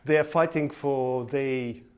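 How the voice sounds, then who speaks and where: A man from Latvia whose first language is Russian, speaking English with a Russian accent in a relaxed podcast chat, pausing and restarting as he searches for words. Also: medium pitch. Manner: searching for words, restarts, halting non-native phrasing. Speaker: podcast co-host. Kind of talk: casual conversation.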